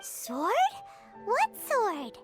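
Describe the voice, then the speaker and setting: A tiny, childlike fairy sidekick from a video game, with an extremely high, squeaky voice. The delivery is animated and inquisitive, with prominent tinkling music playing behind it. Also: female voice, drawn-out words, exaggerated voice. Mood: surprised. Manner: exclaiming, inquisitive. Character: small chirpy childlike fairy companion, curious and plain-spoken.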